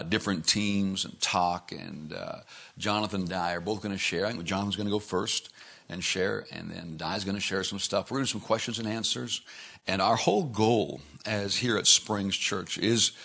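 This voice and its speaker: Low-voiced man leading a staff meeting. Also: pushed and bright. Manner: prayerful, emphatic, preacherly. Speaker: pastor. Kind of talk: church staff meeting talk.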